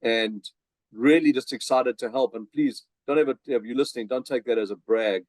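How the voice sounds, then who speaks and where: A man's voice: mid-range voice, moderate pace, darkish tone, no echo. A middle-aged man with a South African accent, chatting energetically as a podcast guest with many fillers and restarts. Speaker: podcast guest, business coach. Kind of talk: casual interview chat.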